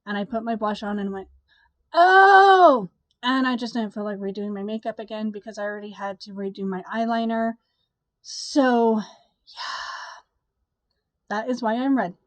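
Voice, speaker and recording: A woman's voice: on the high side, measured pace, pauses often, no room sound. A young woman vlogger, congested from a cold, chatting casually and rambling with a stuffy, nasal voice. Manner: chatty, rambling, with sighs and laughs. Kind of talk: casual chat to camera.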